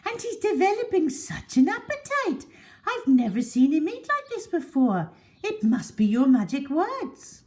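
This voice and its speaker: A woman's voice: high voice, very animated, a little slow, a little mellow, voice pushed up. A woman voicing a doting middle-aged lady who coos to her pet in a gushing, singsong way for a storybook. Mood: proud.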